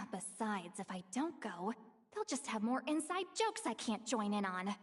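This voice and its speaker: A woman's voice: a little high, sing-song, measured pace, breathy edge, no echo, heavily acted. A woman voicing a playful, proud self-styled granny with a youthful voice in a video game, teasing and theatrical. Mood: confident, insistent.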